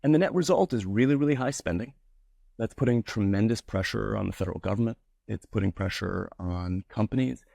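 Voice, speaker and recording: Hoarse, gravelly low-voiced man. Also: brisk, no room sound, clean studio sound. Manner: animated, conversational. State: slightly hoarse from a cold. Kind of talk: policy webinar discussion. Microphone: video call.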